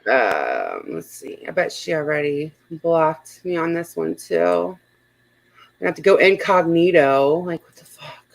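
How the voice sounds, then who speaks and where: A woman's voice: low voice, big pitch swings, measured pace, gravelly. Blunt, sweary woman chatting casually. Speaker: livestream host. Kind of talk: casual chat and commentary.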